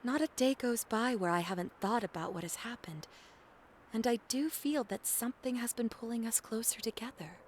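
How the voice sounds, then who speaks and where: A woman playing a sweet, sincere young dream girl in an audio drama, speaking gently. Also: a little high, lively intonation, normal speed, a little hiss or hum, natural voice. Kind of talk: indie audio drama acting. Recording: music under the voice. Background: music.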